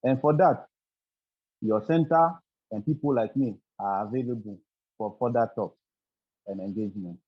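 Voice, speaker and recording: Man, webinar guest, earnest and halting, dry muffled call audio. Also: on the low side, no echo. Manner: earnest, repeats words while searching for them. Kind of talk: formal presentation. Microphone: video call.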